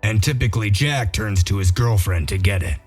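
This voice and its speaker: A man playing a gruff, dry-witted detective in a comic dub, explaining things in a matter-of-fact, wry way. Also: lowish voice, fairly quick, faint music behind, close to an everyday voice. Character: Bigby Wolf, gruff dry-witted male detective sheriff. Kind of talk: amateur comic dub acting.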